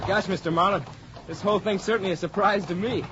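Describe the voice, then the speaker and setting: A man's voice: high voice, fairly even intonation, fast talker, noisy background, natural voice. An actor playing an eager, earnest young male college athlete, boyish and casual, in a 1940s radio drama. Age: young adult. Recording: dull 1940s radio recording.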